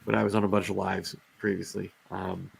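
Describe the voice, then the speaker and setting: Low gravelly guy hosting a live stream, losing his voice, rambles and restarts a lot. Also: level tone, fairly quick, poor audio. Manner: rambling, rant-prone, many fillers and restarts. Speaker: host of a reptile live show. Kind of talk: casual livestream chat.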